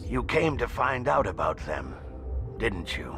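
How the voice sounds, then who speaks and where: An actor playing an eerie, unsettled lone survivor of a laboratory in a video game. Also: male voice, mid-range voice, sing-song, measured pace, gravelly. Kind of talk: scripted video game dialogue. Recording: game music under the voice.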